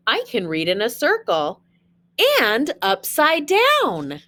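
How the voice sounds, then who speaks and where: A woman's voice: a little high, takes it slowly. Lady teacher reading picture books to little kids, really sing-song up and down, chatty, takes her time. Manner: expressive, addresses young listeners with questions. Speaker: teacher reading a storybook aloud to children. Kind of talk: children's read-aloud with chatty asides.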